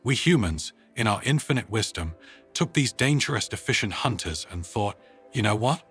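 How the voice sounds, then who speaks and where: A man's voice: lowish voice, expressive, moderate pace, fairly bright tone, soft background music. A man voicing an upbeat, wry woman giving a showy public presentation in a narrated story. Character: upbeat, wry woman showing her pet dog.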